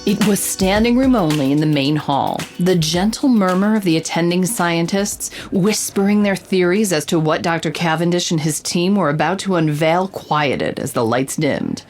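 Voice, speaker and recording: Woman playing a lowish-voiced roving reporter. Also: lively intonation, moderate pace, hardly any pauses, darkish tone, faint music behind, close to an everyday voice. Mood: engaged, wry. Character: female roving reporter, curious, vivid storyteller. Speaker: narrator, reporter. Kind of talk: scripted narration, news-report storytelling.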